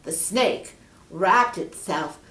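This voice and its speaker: A woman's voice: on the low side, lively intonation, drawn-out words, fairly bright tone, distant mic. A woman reading a storybook aloud expressively, with asides addressed to young listeners. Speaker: children's story reader. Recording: roomy, echoing indoor sound.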